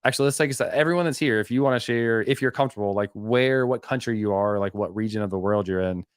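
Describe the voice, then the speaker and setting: A man with a fairly low voice hosts a live Q&A stream and reads questions from the chat. He talks loosely and conversationally, often restarting sentences and filling gaps with "um" and "like." The sound is dry and close to the mic. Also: quick pace, clean tone, no echo. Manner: conversational, many fillers and self-corrections. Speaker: host of a live web development Q&A stream.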